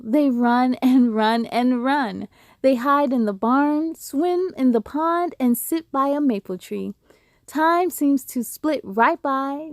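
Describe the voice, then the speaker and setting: A woman hosting a children's read-aloud, speaking in an expressive, deliberate, sing-song way to young listeners. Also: sits high, dry recording, studio quality. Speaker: host of a read-aloud story series. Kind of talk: expressive children's storybook reading.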